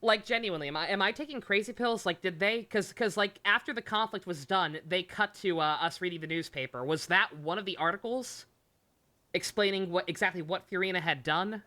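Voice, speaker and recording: A woman's voice: on the low side, brisk, pushed and bright. A woman doing casual, joking live game commentary, sweary and sarcastic. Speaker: game streamer.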